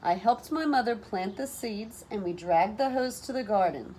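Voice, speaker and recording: A woman teacher reading a children's story aloud in an expressive, deliberate manner, with animated phrasing. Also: mid-range voice, poor audio. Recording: noticeable background noise.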